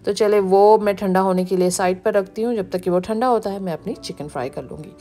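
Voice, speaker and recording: A woman, narrating a cooking video as the channel's host, explaining each step in a relaxed way. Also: medium pitch, a little fast. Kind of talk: recipe voice-over narration. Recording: background music.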